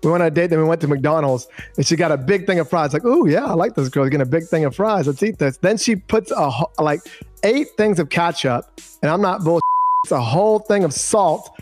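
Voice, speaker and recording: Man, fairly high voice, podcast co-host, casual storytelling, good-humored, self-deprecating, soft music underneath. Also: lively intonation, fairly quick. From Arlington, United States. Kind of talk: casual chat, animated storytelling.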